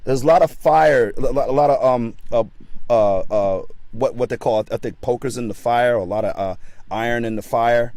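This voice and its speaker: Male guest, average pitch, stuttering repeats, motivational, dry room. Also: moderate pace, a little mellow, no room sound. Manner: stammering, repeats words; encouraging, motivational. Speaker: guest actor. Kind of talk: casual interview chat.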